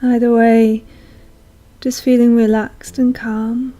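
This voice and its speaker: Very slow, soft woman guiding meditation. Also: fairly high pitch. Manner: slow, soothing, hushed, with long pauses. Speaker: meditation guide. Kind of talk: guided meditation. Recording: soft music behind voice. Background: calm meditation music.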